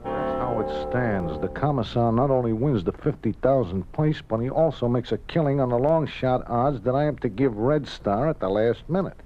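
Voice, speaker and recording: Male actor as loyal underdog racetrack bookmaker, slangy and oddly formal, mid pitch, vintage radio play. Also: moderate pace, few pauses, dark tone, lo-fi sound, faint music behind, close to an everyday voice. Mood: uneasy, resigned. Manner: colorful Runyonesque slang, formal present tense. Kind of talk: 1950s radio drama acting. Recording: vintage 1950s radio recording.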